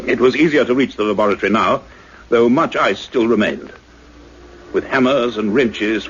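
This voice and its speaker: A man playing an earnest scientist doctor in a radio play, narrating his own adventure in a measured, suspenseful, literary way. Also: on the low side, close to an everyday voice. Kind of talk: dramatic first-person radio play narration. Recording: dull noisy radio play recording.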